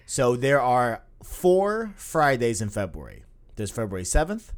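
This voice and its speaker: A man in his early twenties chats casually on a gaming podcast. His pitch swings up and down as he rambles, full of filler phrases and restarts. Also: wildly swooping pitch, a little slow. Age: 20s. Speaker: podcast co-host.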